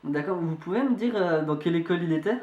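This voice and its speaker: A man's voice: on the high side, darkish tone, highs cut off, a little hiss or hum, lightly acted. Man acting as amateur investigator, hesitant, courteous, insistent, roomy echo. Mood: polite, insistent. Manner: polite, halting, hesitant. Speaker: amateur investigator presenting a video. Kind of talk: hesitant spoken phone inquiry. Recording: echoey room.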